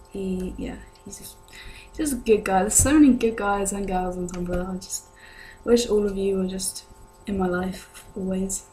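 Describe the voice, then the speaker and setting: Hesitant young British woman, flat intonation. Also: mid-range voice. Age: young adult. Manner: rambling, hesitant. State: ill with a sore throat, voice lower than usual. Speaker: vlogger answering viewer questions. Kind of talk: casual chat to camera. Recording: noisy home recording with hum.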